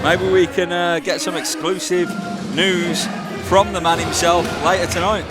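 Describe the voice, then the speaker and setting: A man's voice: sits high, steady tone, unhurried, over loud music. A man with an English accent giving chatty live commentary as a wrestling commentator. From England. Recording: noisy live hall, loud crowd. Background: loud crowd and hall noise.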